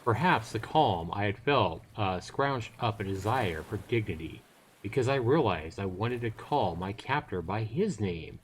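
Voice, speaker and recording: Man, game streamer, lowish pitch, very lively intonation, read-aloud narration, casual banter. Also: unhurried, slight background noise. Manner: jokey, bantering asides between read passages. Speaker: streamer reading a visual novel aloud.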